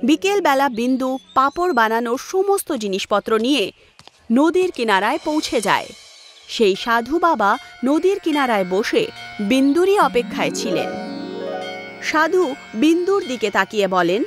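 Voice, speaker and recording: A woman narrates a cartoon fairy tale in a high, very animated voice. Music plays clearly behind her scripted storytelling. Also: a little slow. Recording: voice over background music.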